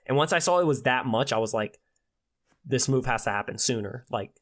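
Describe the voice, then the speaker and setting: A man's voice: mid-range voice, expressive, fairly quick, a bit bright. A twenty-year-old man chatting casually as a podcast guest, earnest and full of slang. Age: 20 years old.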